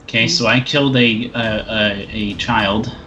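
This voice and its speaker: A man's voice: on the low side, steady tone, a little slow, sharp tone. A man chatting casually and loosely as a player in a tabletop roleplaying game, over a lo-fi microphone. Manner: sweary. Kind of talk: casual group roleplaying chat. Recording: dull lo-fi mic, chatter behind.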